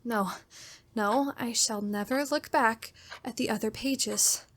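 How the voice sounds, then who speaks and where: A woman's voice: a little high, slow pace, fairly bright tone. An actress playing a fearful, unhinged woman in a theatrical radio horror drama. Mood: frail, brooding. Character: fearful, unhinged woman diarist who killed her brother-in-law. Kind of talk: old-time radio horror drama acting.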